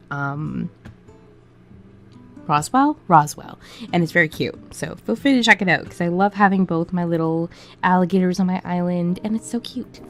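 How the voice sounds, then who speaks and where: Low-pitched chatty woman with a sick voice. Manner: chatty, informal, conversational. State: sick with a cough, voice cracking. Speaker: gaming video creator. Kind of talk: casual voiceover commentary on a game build. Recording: music behind the voice. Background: background music.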